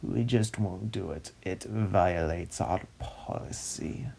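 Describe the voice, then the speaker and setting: Slow, droning male snobby narrator. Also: sits low, vocal fry, darkish tone, slight background noise. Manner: droning, mock-pompous, deliberate. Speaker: host, narrator of a web series. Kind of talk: comic scripted narration and script reading.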